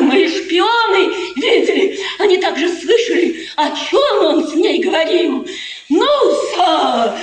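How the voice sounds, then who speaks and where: An actress playing a proud, bitterly mocking, agitated countess in a 1950s radio play, with theatrical, taunting emphasis. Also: female voice, very high-pitched, full character voice. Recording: echoey, noisy 1950s radio recording.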